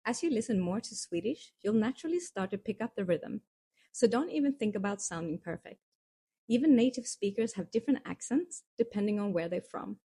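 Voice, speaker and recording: A woman reading scripted narration for a language lesson in a calm, reassuring way, explaining step by step. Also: mid-range voice, brisk, husky. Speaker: course narrator.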